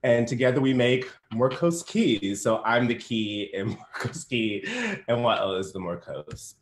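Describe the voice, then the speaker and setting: A man's voice: mid-range voice, unhurried. Friendly man on a roomy video call. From Alabama, US. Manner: friendly, with light laughs and fillers. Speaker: guest designer. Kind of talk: casual presentation.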